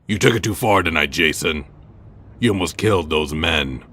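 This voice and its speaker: Casting: grave comic-book crimefighter mentor, extremely low, forceful, measured and admonishing. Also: male voice, expressive, exaggerated voice. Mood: stern. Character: stern, grave adult male vigilante mentor. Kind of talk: motion comic voice acting.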